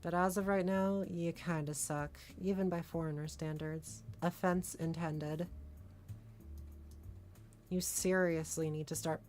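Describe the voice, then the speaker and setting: A woman's voice: fairly low pitch, steady tone, unhurried, a little mellow, faint music behind, close to an everyday voice. A woman voicing a blunt, bossy and demanding female esports teammate in a visual novel. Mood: blunt criticism. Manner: blunt, commanding.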